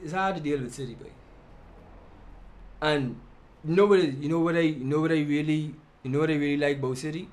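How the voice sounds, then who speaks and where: Animated man, slightly high voice. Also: a little fast, long pauses. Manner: animated, laughs, slips into quoted voices. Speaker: guest battle rapper. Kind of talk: casual podcast chat.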